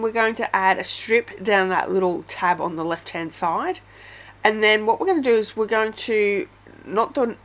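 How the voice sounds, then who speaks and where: An Australian woman with a head cold, chatting through a casual step-by-step craft tutorial with many ums. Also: mid-range voice.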